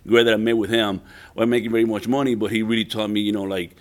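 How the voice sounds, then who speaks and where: A middle-aged man from Puerto Rico, a chef and entrepreneur, telling his story in a relaxed, rambling way full of "uh" and "you know". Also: on the low side, quick pace. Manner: rambling, many "uh" and "you know" fillers and repeated words.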